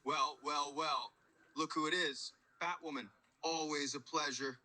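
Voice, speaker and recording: Man as a taunting male criminal, piercingly bright, slightly high, slangy, theatrical, superhero drama. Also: steady tone, a little slow. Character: taunting, cocky male criminal under interrogation. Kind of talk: superhero fan audio drama acting.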